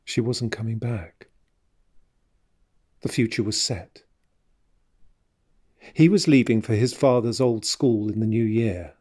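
A slow, solemn male narrator. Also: fairly low pitch, a little mellow. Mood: solemn, brooding. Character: solemn male narrator reading a novel chapter. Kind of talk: literary story narration in an audio drama.

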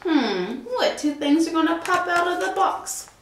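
A woman's voice: extremely high pitch, lively intonation, unhurried, slight background noise. Woman doing a gleeful show-off cat. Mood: coaxing. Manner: sing-song rhyming, gleeful. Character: playful boastful male cat, showing off tricks. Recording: roomy echo.

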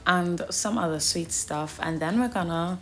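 A woman's voice: fairly low pitch, moderate pace, a little hiss or hum. A young woman with a Trinidadian accent, chatting casually to the camera as a vlogger. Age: young adult.

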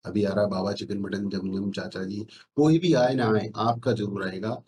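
A man's voice: lowish voice, fairly even intonation, normal speed, a little mellow, slight background noise. A middle-aged man talks viewers through an on-screen demonstration. He sells his consulting service with self-assurance and often repeats phrases to drive a point home. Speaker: SEO consultant. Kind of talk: screen-share sales pitch to viewers. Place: indoor room, screen recording with webcam.

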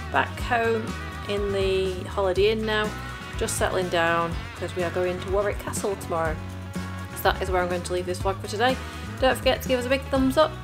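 A woman in her thirties with a British accent, vlogging in a chatty, informal way to a handheld camera in a hotel room. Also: fairly low pitch. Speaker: family travel vlogger. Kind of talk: casual vlog chat to camera. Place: small hotel room and bathroom. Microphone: handheld camera at arm's length.

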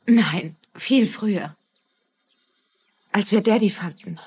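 An actress playing a sad, guarded young daughter in a dubbed TV crime drama, with a husky voice. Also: female voice, mid-range voice, takes it slowly, darkish tone. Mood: subdued, wistful.

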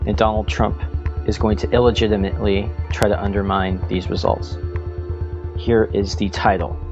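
An American man, a podcast host, gives a dramatic, deliberate reading of a political commentary with weighted pauses. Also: on the low side, a little mellow. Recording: dull lo-fi sound with music behind.

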